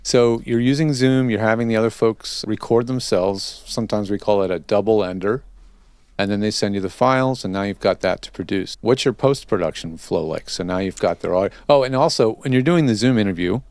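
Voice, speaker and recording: Man, interview host, lower-pitched voice, conversational, explanatory, dry close sound. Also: fairly quick, a little mellow, smooth, no echo. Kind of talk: casual interview chat about recording.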